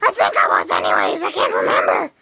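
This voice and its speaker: Tiny squawking game creature, extremely creaky, pitch leaping wildly, homemade skit. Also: female voice, huge pitch swings, brisk, sharp tone, shouting, exaggerated voice. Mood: matter-of-fact. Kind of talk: improvised amateur role-play skit.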